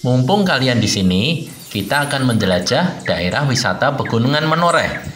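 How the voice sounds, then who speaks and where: Kak Dimas, friendly guide. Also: male voice, mid-range voice, sing-song, moderate pace, fairly bright tone, slight background noise. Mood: welcoming. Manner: welcoming, explaining. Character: friendly young male tour guide, big brother. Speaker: guide for children. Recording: roomy echo.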